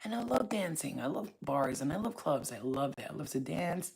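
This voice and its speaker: A woman speaks in a low, husky voice, loose and casual. She talks straight to her viewers as a spiritual reader, blunt and preachy, swearing freely and never softening her point. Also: big pitch swings, moderate pace, poor audio. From Staten Island, New York. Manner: blunt, profane, preachy, streetwise. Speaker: spiritual reader and channel host. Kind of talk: casual talk to camera.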